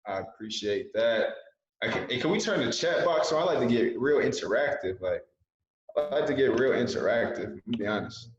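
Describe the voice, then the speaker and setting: A man's voice: mid-range voice, expressive, normal speed. Man, 20s, guest speaker on a video call. Earnest motivational delivery, repeats himself for emphasis. Very echoey, dull call audio.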